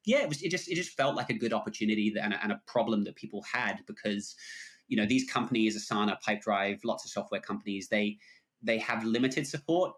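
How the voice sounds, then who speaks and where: A man's voice: on the low side, a bit bright. A man in his thirties with a hybrid English and New Zealand accent, a guest explaining his work in a casual podcast interview. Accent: English with New Zealand mix. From England. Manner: friendly, explanatory, frequent fillers.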